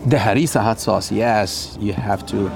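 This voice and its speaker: A man's voice: lowish voice, normal speed, creaky, noisy background. A man in his fifties with a Moroccan accent, a native Arabic speaker, talking casually and playfully as he serves food. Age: 50s. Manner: energetic, joking, with playful asides. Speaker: chef and restaurant host being interviewed. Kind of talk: casual storytelling while serving food.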